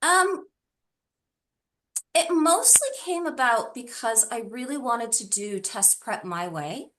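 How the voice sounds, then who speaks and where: A woman's voice: on the high side, animated, slow, fairly bright tone. A middle-aged woman answering interview questions conversationally, in an earnest and emphatic way. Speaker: interview guest, tutoring business founder.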